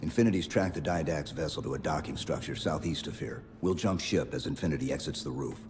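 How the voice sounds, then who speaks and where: A man's voice: very deep voice, quick pace, a little mellow, a little hiss or hum. A man voicing a stoic armored male super-soldier, speaking in a terse, clipped way. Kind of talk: video game cutscene acting.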